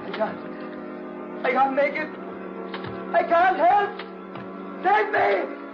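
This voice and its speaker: A very high-pitched, panicked man cries out in desperate, drawn-out bursts. The melodrama comes through a muffled, echoing 1940s radio recording with loud music. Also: vocal fry, heavily acted. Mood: despairing terror. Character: panicked man sinking in quicksand, doomed and despairing. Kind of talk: 1940s radio thriller acting.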